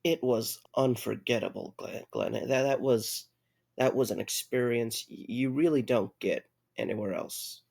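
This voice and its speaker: A man playing an awkward, weary young man in an audio drama, with a halting, stammering delivery and a creaky voice. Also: mid-range voice, darkish tone. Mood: hesitant.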